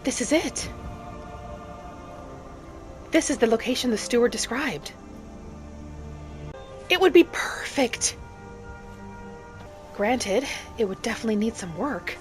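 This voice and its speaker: Soft, dreamy woman as a wood elf wanderer, music behind. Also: fairly high pitch, animated. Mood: hopeful. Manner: soft, unhurried, dreamy, earnest. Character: gentle, hopeful young wood elf woman adventurer. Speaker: roleplay series host. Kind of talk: in-character scripted narration, storytelling.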